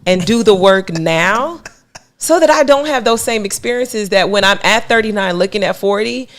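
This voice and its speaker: A woman in her late thirties, a guest on a casual podcast, talking in a reflective, measured way. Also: medium pitch, animated. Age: 30s.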